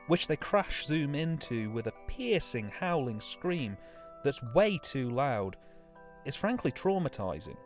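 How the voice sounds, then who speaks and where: A young adult man giving review commentary on films. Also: mid-range voice. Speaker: guest reviewer.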